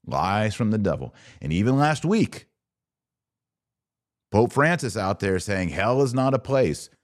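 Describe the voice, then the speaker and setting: A man's voice: medium pitch, a little mellow. A man hosting a podcast, giving a blunt, informal religious commentary in an emphatic, preachy way. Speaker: podcast host.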